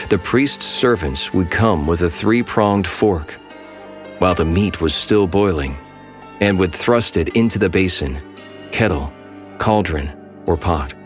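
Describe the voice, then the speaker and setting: A man with a very deep voice narrates scripture in a steady, even way. Soft music runs underneath the studio recording.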